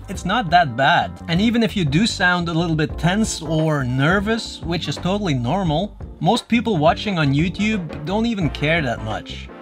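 A man in his thirties with a Dutch accent, a native Dutch speaker giving earnest advice straight to camera from a dim room, gesturing as he explains. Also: on the high side. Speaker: YouTuber. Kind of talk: direct-to-camera advice vlog. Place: dim room with shelves, indoors.

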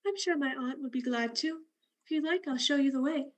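A woman playing a gentle, obliging young country girl in a radio drama, speaking simply and politely. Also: high voice, a little fast, natural voice. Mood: shy, helpful. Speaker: student actor.

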